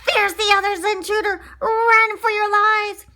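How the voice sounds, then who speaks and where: Woman voicing a shrill, panicky cartoon duck. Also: flat delivery, measured pace, sharp tone, heavily acted. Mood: frantic alarm. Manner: shrill, exclamatory, alarmist. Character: frantic, panicky male duck, squawking alarms.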